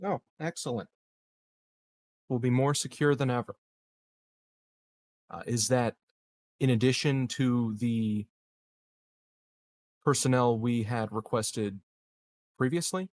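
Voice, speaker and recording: A man acts out a smooth, scheming colonial official in a science fiction roleplaying game. His voice sits at a middle pitch. He speaks with hesitant, carefully hedged diplomacy and a guarded manner. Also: dry recording, natural voice. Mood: pleased. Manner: hesitant, hedging, measured politician's talk. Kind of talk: improvised tabletop roleplay.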